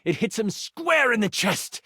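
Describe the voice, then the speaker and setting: A theatrical game master with a fairly high male voice. He narrates fantasy adventure with suspense and weighted pauses, recorded close and dry. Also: sing-song, bright, forceful tone, husky, no room sound, full character voice. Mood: suspenseful, excited. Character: living twenty-sided die, dramatic male game master. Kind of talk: dramatic tabletop fantasy narration.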